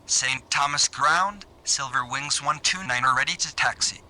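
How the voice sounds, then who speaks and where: A man's voice: mid-range voice, normal speed, sharp tone. A man's voice playing a businesslike airline pilot in a game, making formal radio calls to ground. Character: brisk male airline pilot calling ground.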